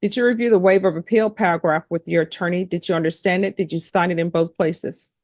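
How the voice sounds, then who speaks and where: A woman's voice: lowish voice, steady tone, quick pace, a little mellow, no echo. A woman judge questioning people formally and procedurally in a courtroom. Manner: procedural, spells out names. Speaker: judge presiding over a court hearing.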